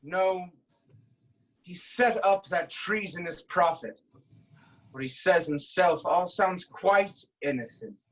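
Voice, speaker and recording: A woman's voice: lowish voice, takes it slowly, low-quality recording. A woman playing a proud, suspicious king in a staged reading of Greek tragedy, with stern declamatory delivery. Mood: indignant. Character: proud, suspicious, hot-tempered male king of Thebes.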